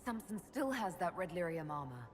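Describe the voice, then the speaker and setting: A woman's voice: on the low side, big pitch swings, brisk. An actress playing a composed, compassionate female leader in a video game, speaking in a direct, questioning way. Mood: composed, concerned. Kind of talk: dramatic video game acting. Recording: clear background noise.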